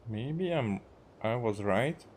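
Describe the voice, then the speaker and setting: A man from Hungary with a Hungarian accent gives casual, halting gameplay commentary, half to himself as he streams. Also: medium pitch, expressive, a little mellow, slight background noise. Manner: halting, muttering to himself while playing. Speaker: game streamer. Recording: game music behind. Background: video game music.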